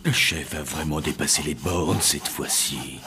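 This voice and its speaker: A man's voice: very deep voice, measured pace, loud music behind the voice. A man voicing a proud, affronted male employee in a cartoon, with a declamatory delivery. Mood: indignant. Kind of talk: French animated cartoon dub.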